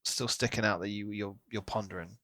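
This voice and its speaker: A man's voice: fairly low pitch, fairly even intonation, a little fast, sharp tone, no room sound. A man co-hosting a podcast, discussing books in a casual, enthusiastic and reflective way. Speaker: podcast co-host.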